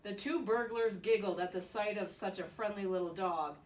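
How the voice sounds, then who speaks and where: A woman reading a children's bedtime story aloud in an expressive, playful way. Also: mid-range voice, normal speed. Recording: roomy, distant mic with faint noise.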